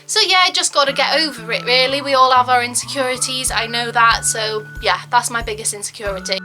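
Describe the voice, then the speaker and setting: A chatty young woman talks straight to camera in a slightly high voice, filling her talk with "like" and "um". Now and then she acts out what others said. Music plays clearly behind her. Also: brisk. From Wales. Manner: chatty, frequent "like" and "um", acts out quotes. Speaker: vlogger. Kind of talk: casual chat to camera.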